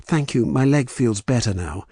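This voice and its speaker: A man's voice: mid-range voice, sing-song, normal speed, mellow, husky, no room sound, studio quality, pitched above the performer's own voice. A man voicing a scheming young woman who feigns innocence, with an English accent, in an audiobook. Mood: falsely sweet.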